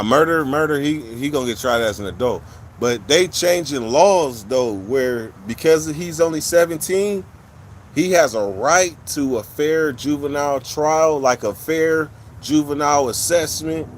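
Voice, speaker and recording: Man, loose rambling livestream host, street slang and swearing, pitched a touch high for a man, light hiss behind him. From California. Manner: blunt, profane street slang, rambling storytelling. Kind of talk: casual livestream chat with viewers.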